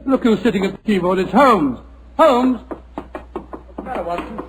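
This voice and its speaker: Bluff doctor, exclamatory, high-pitched, radio play. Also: male voice, animated, moderate pace, noisy background. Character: hearty, easily startled adult male doctor. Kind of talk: 1940s radio acting.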